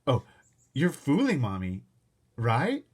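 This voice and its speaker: A man's voice: mid-range voice, wildly swooping pitch, takes it slowly, a little mellow, slightly rough, voice pushed up, full character voice. A man voicing a fretful, busy mother who scolds and fusses, for an audiobook. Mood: fussing. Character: busy mother, fussy and exasperated.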